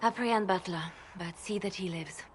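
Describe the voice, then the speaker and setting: A woman acts out a pragmatic mistress of spies in a fantasy video game. She sounds cold, severe and controlled, at a medium pitch. A faint noise runs behind her. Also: normal speed, close to an everyday voice. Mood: stern, defensive.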